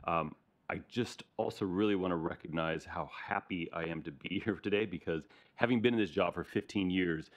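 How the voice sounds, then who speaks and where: A middle-aged American man from Arizona, a regional emergency recovery manager, presenting to a council meeting in a conversational way, often adding "right?". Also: low voice. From Arizona, USA. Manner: conversational, frequent "right?" tag questions. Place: council chamber, large meeting room.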